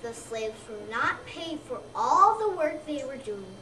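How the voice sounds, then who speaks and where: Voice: sits high, big pitch swings, a little slow, pushed and bright. A young child of about seven or eight, a second grader, reading a scripted narration aloud for readers theater. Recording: echoey room, distant mic, noisy background.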